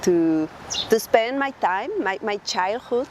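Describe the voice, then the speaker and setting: A woman speaking haltingly in an interview, repeating words as she reflects on her childhood. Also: medium pitch, animated, a little hiss or hum.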